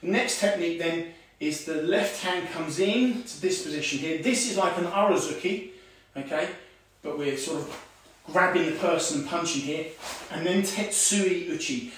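Karate instructor in an echoey room. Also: male voice, on the high side, moderate pace, few pauses, distant mic. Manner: talks while demonstrating moves, calls out commands; many "okay"s and fillers, relaxed teaching tone. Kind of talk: online martial arts lesson. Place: home room with tiled floor. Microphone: far camera on a stand.

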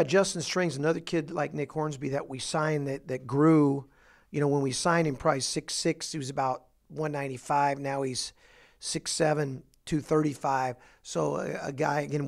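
A middle-aged man, a basketball coach, talking through his roster in an office interview with a handheld mic, halting with fillers and restarts. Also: medium pitch, gravelly. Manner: halting, many fillers and restarts, upbeat emphasis on each name. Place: office, indoors.

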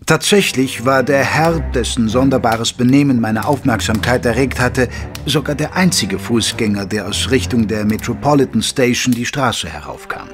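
An actor playing a gentlemanly detective's companion, narrating an audio drama in a measured, literary way. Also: male voice, mid-range voice, lively intonation, lightly acted. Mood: measured storytelling. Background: music.

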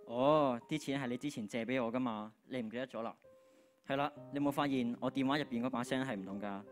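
A man's voice: mid-range voice, fast, soft background music, close to an everyday voice. A teenage boy playing an earnest, forgiving old schoolmate in a radio drama on stage. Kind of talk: Cantonese radio drama acting.

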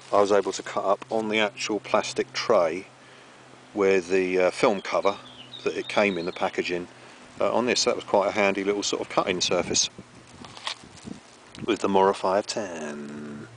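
A middle-aged man chatting casually to the camera as he presents outdoor cooking. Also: deep voice. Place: outdoors on a grassy riverbank under trees. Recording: outdoor camera audio with clear background noise.

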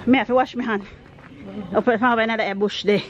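A woman's voice: a little high, steady tone, quick pace, highs cut off, background noise. A Jamaican woman in her forties, vlogging casually while walking outdoors, recording on a handheld phone, playful with laughing asides. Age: 40s. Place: outdoors in rural bush and roadside. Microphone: handheld phone camera.

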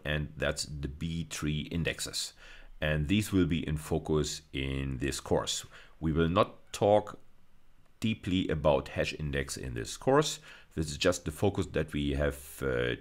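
Male lecturer, middle-aged, extremely deep and animated in intonation, hesitant explanatory delivery for a technical video course. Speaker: university lecturer.

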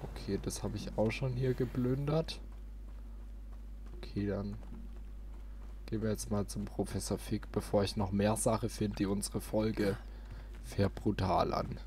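A man's voice: fairly low pitch, steady tone, unhurried, pauses often, darkish tone, slight background noise. A man doing casual let's play commentary, thinking aloud with playful little interjections. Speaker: let's player, channel host. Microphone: close desk microphone. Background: game music.

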